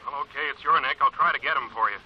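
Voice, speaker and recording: A man's voice: mid-range voice, rapid-fire, slight background noise, natural voice. A man playing a breezy, wary government undersecretary on a screen call in 1950s radio drama. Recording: muffled old radio broadcast.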